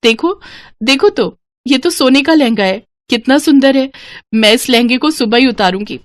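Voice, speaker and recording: A woman's voice: sits high, expressive, normal speed, no echo. A woman voicing a coy, deceitful young witch bride in a dramatised story, plaintive and coaxing. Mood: coy insistence. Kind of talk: dramatised Hindi moral story.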